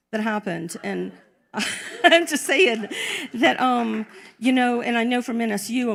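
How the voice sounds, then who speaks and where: A woman's voice: mid-range voice, gravelly. A woman giving a chatty, informal conference presentation, often saying "y'all". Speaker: conference panelist. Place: conference room.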